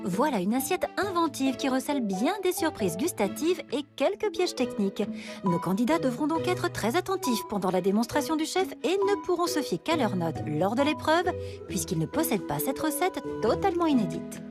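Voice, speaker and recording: A woman's voice: high voice, big pitch swings, moderate pace. A woman reading scripted voice-over narration for a cooking show. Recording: prominent music behind the voice. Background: background music.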